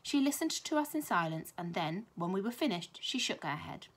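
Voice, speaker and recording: A British woman, a primary school teacher, reading a children's story aloud with expressive narration. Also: mid-range voice, moderate pace.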